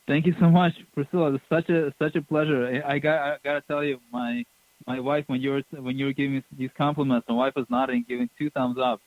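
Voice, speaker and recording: A man with a Russian-Ukrainian accent, a film director guest calling in to a radio show, friendly and a bit halting. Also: medium pitch, fairly even intonation, slight background noise. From Odessa, Ukraine. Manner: friendly, laughing, a little halting. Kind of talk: casual radio interview. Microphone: phone call-in. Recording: tinny phone line.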